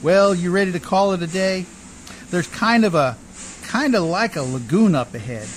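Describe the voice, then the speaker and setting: A man acting in a radio play as a rough, good-natured, hard-drinking Canadian riverboat skipper with a folksy, deferential, ungrammatical drawl. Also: high-pitched, animated, moderate pace, slight background noise, poor audio. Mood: genial, hospitable.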